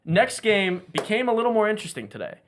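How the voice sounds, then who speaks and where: A man's voice: high voice, big pitch swings, fast, a little hiss or hum. A young man hosting a casual sports betting podcast, leading the picks with an upbeat, joking manner. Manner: upbeat, leads picks, laughs often. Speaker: podcast host.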